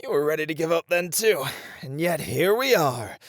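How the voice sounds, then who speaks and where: Cocky, flirty ninja played by a man, lively delivery. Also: on the high side, normal speed, no echo, clean studio sound, natural voice. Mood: teasing, cocky. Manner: teasing, breezy banter. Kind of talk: audio roleplay acting.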